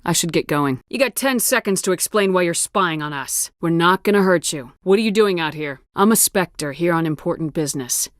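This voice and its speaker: A woman's voice: on the low side, lively intonation, fairly quick, hardly any pauses, no echo, clean studio sound, natural voice. A woman playing a tough, decisive space soldier in a video game, speaking with firm, clipped authority. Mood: firm, questioning.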